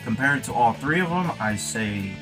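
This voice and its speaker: A man's voice: mid-range voice, expressive, moderate pace, over music. A man giving casual, laid-back reaction commentary as a guest, in brief remarks.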